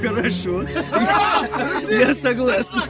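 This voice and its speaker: An actor playing a vain, boastful young officer with an affected, self-important manner in a theatrical radio play. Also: male voice, extremely high pitch, takes it slowly. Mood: grudging. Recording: distant voice in loud crowd.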